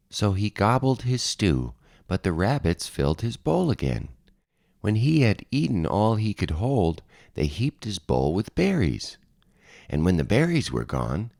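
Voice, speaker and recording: Slow, deep-voiced man reading a storybook. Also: gravelly, a little mellow, dry recording, clean studio sound. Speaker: storybook narrator. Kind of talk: expressive children's picture-book read-aloud.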